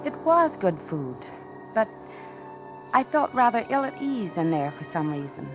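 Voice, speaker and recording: A dull vintage radio recording with music behind the voice. An actress plays a polite American widow confiding her unease in 1950s suspense style. Her delivery is genteel and very animated in pitch. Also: normal speed, natural voice. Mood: uneasy, reflective. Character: polite, uneasy widowed office worker woman, recounting nervously. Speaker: radio drama lead and narrator.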